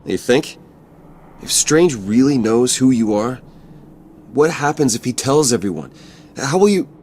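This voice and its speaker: A mid-pitched young male voice over faint noise, a man acting a loyal, cocky vigilante sidekick in a video game. He speaks up earnestly and pushes back, keen to prove himself. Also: lively intonation, measured pace, a little mellow, lightly acted. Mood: worried, challenging.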